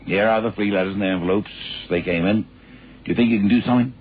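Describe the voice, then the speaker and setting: A man acting a businesslike, worried government official. His lowish voice is earnest and crisp. The old radio drama recording is narrow and tinny, with faint noise. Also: fast talker, natural voice. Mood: earnest, concerned.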